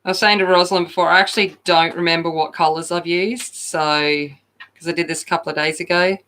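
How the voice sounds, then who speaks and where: A woman hosting a coloring channel, chatting loudly and casually with viewers while she colors, often poking fun at herself. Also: on the low side, steady tone, fast talker. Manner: loud, chatty, self-deprecating.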